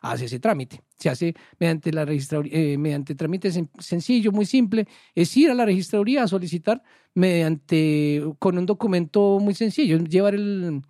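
A man, a legal expert guest explaining procedures in halting speech with many restarts and tag questions. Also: sits high, dark tone, no room sound, studio quality. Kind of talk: explanatory radio interview.

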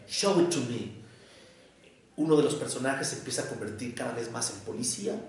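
A man from Mexico with a Mexico City Spanish accent touched by Jalisco, a psychoanalyst giving a measured, reflective lecture and checking in with listeners with a questioning "mm?". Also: medium pitch, gravelly, fairly bright tone. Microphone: distant mic. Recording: very echoey room, voice picked up from a distance.

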